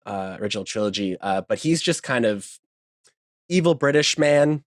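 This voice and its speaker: A man's voice: medium pitch, lively intonation, moderate pace, no echo, studio quality. A man chatting casually as a podcast guest. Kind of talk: casual chat.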